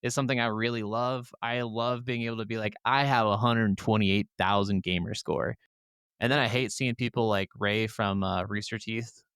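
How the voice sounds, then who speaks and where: A man's voice: on the low side, steady tone, normal speed, fairly bright tone, smooth, dry recording, studio quality. A man co-hosting a gaming podcast in casual, slangy chat, swearing freely. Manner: profane, slangy, says "dude" often. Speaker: podcast co-host.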